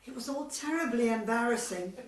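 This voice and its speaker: A woman's voice: fairly high pitch, fairly quick, lightly acted. Woman acting a gossipy committee lady, echoey. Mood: amused gossip. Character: agreeable, gossipy, competitive tennis club committee woman. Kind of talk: amateur radio comedy acting. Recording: echoey room, far from mic.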